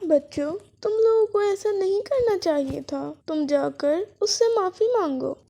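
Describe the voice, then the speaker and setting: Old mother crow for a cartoon, done by a narrator: female, motherly, soft-toned, high, mildly scolding. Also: expressive, a little slow, vocal fry, exaggerated voice. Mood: reproving. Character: kindly, reproving elderly mother crow. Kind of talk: children's cartoon story dialogue.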